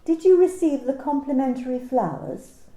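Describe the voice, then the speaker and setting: A woman's voice: high voice, expressive, normal speed, warm tone, roomy sound. A woman playing a cold corporate manager. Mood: hushed. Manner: cool, corporate, condescending. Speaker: company manager. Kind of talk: amateur radio play reading.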